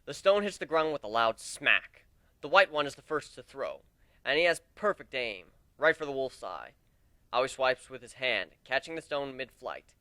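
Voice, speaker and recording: A man's voice: medium pitch, animated, moderate pace, pushed and bright, no echo. A man giving an amateur dramatic reading of a first-person fantasy adventure story.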